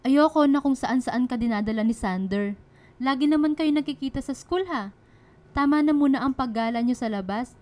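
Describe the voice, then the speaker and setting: A woman's voice: high-pitched, moderate pace, a little mellow, natural voice. A Filipino woman playing a worried, caring middle-aged single mother in a radio drama. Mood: worried scolding. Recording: music behind the dialogue.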